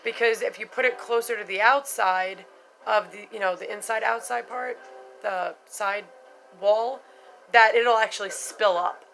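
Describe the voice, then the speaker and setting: A middle-aged woman with a bright, clear voice talks straight to the camera in a loose, unscripted vlog. She is frank and chatty, tosses in casual swearing and leans on "you know" and "like" as she goes. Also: medium pitch, fairly even intonation, measured pace, faint music behind, poor audio. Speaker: vlogger sharing denture experiences.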